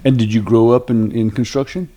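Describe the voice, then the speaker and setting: A man's voice: mid-range voice, fairly even intonation, brisk, mellow. Casting: male podcast host, mid-range voice, casual conversational interviewing style.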